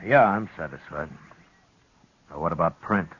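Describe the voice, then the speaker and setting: An American actor playing a steady, fair frontier marshal with measured authority in a 1950s radio western. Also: male voice, low-pitched, breathy edge. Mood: firm, measured. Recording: dull old radio recording.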